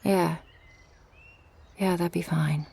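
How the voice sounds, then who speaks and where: A woman playing a guarded but loving shark girl in an ASMR roleplay, speaking softly and intimately with frequent sighs. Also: fairly low pitch, fairly even intonation, slow pace, full character voice. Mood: resigned. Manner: soft, breathy, intimate, often sighing. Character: guarded, loving shark girl, former freak show performer.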